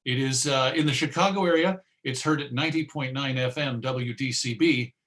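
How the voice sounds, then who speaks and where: Chatty, halting man presenting as a host. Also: medium pitch, fairly bright tone. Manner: halting, chatty, self-deprecating. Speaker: radio show host giving a presentation. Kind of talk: informal presentation to an online audience. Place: remote video presentation.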